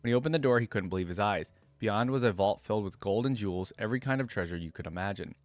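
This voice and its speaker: A man's voice: fairly low pitch, quick pace, dry recording. A man hosting a reaction video, moving between reading lore aloud and informal commentary as he thinks aloud.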